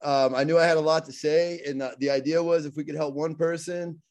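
A man's voice: on the high side, fairly even intonation, brisk, a little mellow. A middle-aged man with a Pittsburgh accent, a guest speaker talking earnestly in a casual interview and often repeating and restarting his words.

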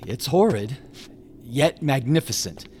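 An actor in a radio drama playing an eager, polite would-be impresario with an earnest, hesitant, deferential manner. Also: male voice, medium pitch, animated, a little slow, darkish tone, slight background noise, natural voice. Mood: astonished.

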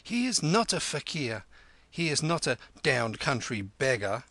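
A man voicing a cocky, quick-witted boy in a storybook, with a lofty, taunting manner. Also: a little high, big pitch swings, fairly bright tone, no echo, voice pushed up, heavily acted. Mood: severe. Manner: taunting, loftily self-assured. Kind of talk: storybook character voice.

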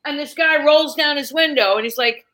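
A woman with a New York accent, telling lively stories as a podcast guest and acting out the people in them. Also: sits high, normal speed. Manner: animated, acts out voices in her stories. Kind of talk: casual storytelling chat.

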